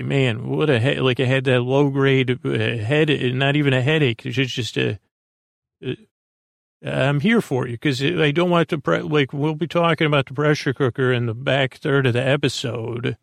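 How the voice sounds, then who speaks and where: A man hosting a bedtime podcast in a creaky voice, rambling in a halting, meandering way and often trailing off mid-thought. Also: mid-range voice, no room sound, clean studio sound. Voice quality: gravelly, creaky. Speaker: host of a bedtime sleep podcast. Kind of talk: rambling, soothing monologue. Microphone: close podcast microphone, dry.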